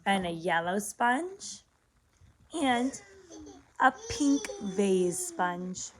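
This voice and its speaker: A woman's voice: mid-range voice, very animated, very slow, rough recording. A woman reading a picture book aloud as a lesson for young children, explaining simply, asking questions and repeating words.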